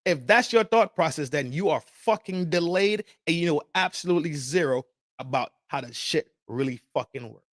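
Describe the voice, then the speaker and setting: A man's voice: on the high side, animated, normal speed, a bit bright, no echo, studio quality. A man hosting a podcast, ranting casually about politics with heavy swearing, slang and repeated phrases. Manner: profane, emphatic, repetitive, street slang. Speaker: podcast host. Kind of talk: casual opinion rant.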